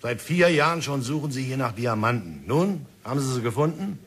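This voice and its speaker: Smooth pushy negotiator, jovial coaxing tone, radio play. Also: male voice, medium pitch, lively intonation, brisk. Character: smooth persuasive company negotiator, middle-aged man.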